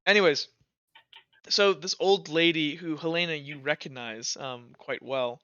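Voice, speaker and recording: A man acting as game master, narrating a tabletop roleplay session in a casual, halting, informal way. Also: a little high, sharp tone, smooth, no room sound, highs cut off.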